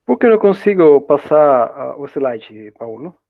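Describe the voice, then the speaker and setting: A man with a Spanish accent that is mixed with a Brazilian one gives an informal online lecture in Portuguese, keeps adding little tag questions, and often restarts his words. His voice is fairly high and gravelly. The sound is dry and thin, like a phone call. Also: very animated, a little slow, dry recording. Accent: Spanish-accented Portuguese, partly Brazilian. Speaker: guest lecturer. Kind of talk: academic web conference talk. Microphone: video call. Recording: dry tinny video call audio.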